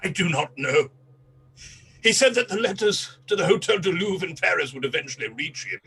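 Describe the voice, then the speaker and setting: An actor playing a disgraced English colonel in a radio play, a cornered, self-pitying traitor pleading and confessing in agitation. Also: male voice, high-pitched, lively intonation, normal speed, vocal fry, pushed and bright, exaggerated voice. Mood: broken, remorseful.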